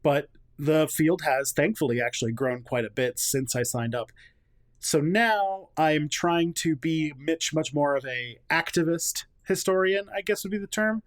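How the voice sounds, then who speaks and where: A man in his thirties talks casually as a podcast guest, with a voice a little higher than most men's. He is chatty and self-deprecating and laughs easily. The recording is dry and close, with no room sound. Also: dry recording. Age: 30s. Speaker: guest, history content creator.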